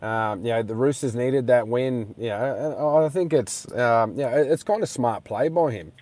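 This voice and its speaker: A man's voice: medium pitch, normal speed, darkish tone, a little hiss or hum. A man co-hosting a radio show from his car, teasing and chatty with lots of fillers. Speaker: co-host, rugby league player. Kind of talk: casual radio chat.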